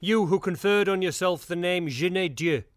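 An actor playing a dignified, kindly male mayor with measured authority. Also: on the high side, expressive, dry recording, clean studio sound. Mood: urgent. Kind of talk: radio drama acting.

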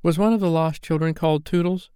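A man voicing excitable little boys in a bedtime storybook, eager, boisterous and childlike. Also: a little high, moderate pace, dry recording, studio quality, pitched above the performer's own voice. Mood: excited.

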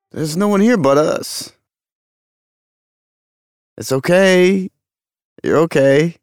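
A man's voice: fairly high pitch, dry recording, studio quality, full character voice. A man playing a wounded, dazed former movie heartthrob in an audio drama, dreamy and faltering with boyish pleading. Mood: breezy. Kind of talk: dramatic audio play dialogue.

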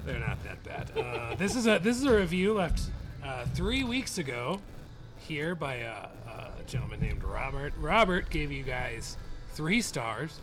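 A man with a fairly high, animated voice co-hosts a casual podcast. He jokes and teases as he talks, with music clearly playing behind him. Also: unhurried. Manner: joking, teasing. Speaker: podcast co-host. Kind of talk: casual banter, reading out reviews. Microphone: podcast microphone.

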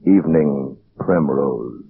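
A man giving a measured, solemn announcer's introduction in old-time radio style. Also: rumbling low, gravelly, exaggerated voice. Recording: muffled old radio recording, faint music.

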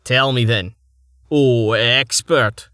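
A male narrator voicing a belligerent, loud-mouthed man who shouts and mocks the others. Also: medium pitch, measured pace, dry recording, clean studio sound. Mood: mocking. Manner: shouting, mocking.

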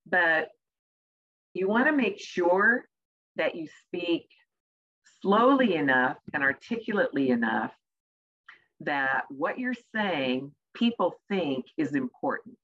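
A woman with a soft voice, an executive communication coach answering interview questions in a measured way with deliberate pauses. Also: sits low, sing-song, lo-fi sound.

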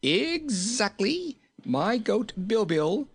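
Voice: mid-range voice, sing-song, very slow, husky, voice pushed up, exaggerated voice. A narrator voicing a jolly, fat little king from a storybook, chuckling, exclaiming and repeating himself merrily. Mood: cheerful.

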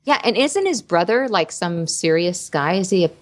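A woman's voice: on the low side, expressive, normal speed. A woman voice actress chatting playfully in a casual interview, laughing often. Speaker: voice actress, guest.

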